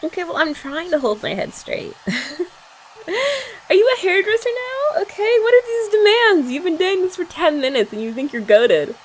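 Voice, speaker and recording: A woman's voice: very high-pitched, very animated, normal speed. A young woman in a playful, teasing ASMR roleplay, chatting as a girlfriend while her hair is dried. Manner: playful teasing chat, soft-spoken. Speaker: ASMR creator. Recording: faint hair dryer noise behind.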